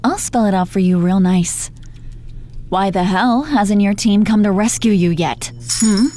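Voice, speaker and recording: A woman's voice: medium pitch, a little slow, mellow, lightly acted. A woman playing a gloating, menacing female supervillain in a roleplay audio, condescending, sardonic and exasperated, with heavy swearing. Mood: mocking impatience. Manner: taunting, condescending pet names, heavy swearing. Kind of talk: dramatic roleplay audio monologue.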